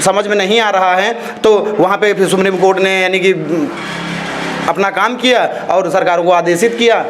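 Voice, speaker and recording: A man speaks in a notably high voice. He argues his opinion emphatically, repeating phrases and firing rhetorical questions as in an interview. Faint ambient noise sits behind him. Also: fairly even intonation, normal speed. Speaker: interviewee, social activist. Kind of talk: opinionated street-interview talk.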